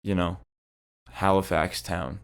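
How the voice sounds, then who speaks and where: A man's voice: sits low, level tone, slow pace, gravelly, clean tone. A young man chatting casually as a podcast guest, laid-back, swearing a lot and filling his talk with slang. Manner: laid-back, swears often, lots of "like" and "bro". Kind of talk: casual chat.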